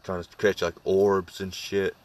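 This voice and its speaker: A man with a deep voice telling a spooky personal story to friends, halting with many fillers and restarts. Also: flat delivery, distant mic. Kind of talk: casual ghost story among friends.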